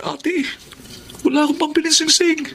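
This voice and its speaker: Casting: sheepish teen Filipino brother, high strained-up pitch, radio drama, noisy recording. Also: male voice, flat delivery, moderate pace, creaky, sharp tone, exaggerated voice. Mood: sheepish, hopeful. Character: anxious, sheepish nineteen-year-old younger brother.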